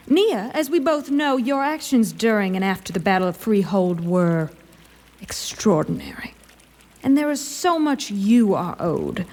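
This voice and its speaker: A scheming lady commander in a fantasy radio play. She speaks in grand, formal phrases with silky condescension and a threat beneath the courtesy. Her voice sits at a middle pitch. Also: darkish tone. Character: imperious scheming female lord commander, sweetly menacing. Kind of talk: fantasy audio drama acting.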